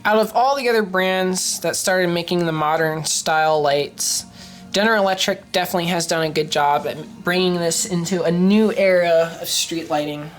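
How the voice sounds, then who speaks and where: A woman's voice: lowish voice, normal speed, soft background music. Congested young woman rambling about a collection. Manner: enthusiastic, rambling with frequent ums and restarts. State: congested, stuffy from a cold. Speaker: streetlight collector and vlogger. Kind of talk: casual show-and-tell vlog.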